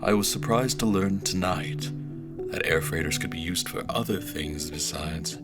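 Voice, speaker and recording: An actor playing a patient, reflective researcher of ancient artifacts, narrating an audio drama in measured, literary phrasing. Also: male voice, sits low, over loud music. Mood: reflective, guarded.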